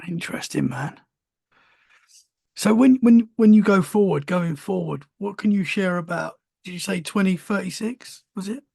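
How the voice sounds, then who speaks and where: A man's voice: sits high, normal speed, gravelly, mellow, husky, no room sound, clean studio sound. A man hosting a podcast, asking casual questions and reacting with short remarks. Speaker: podcast host. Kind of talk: casual interview talk.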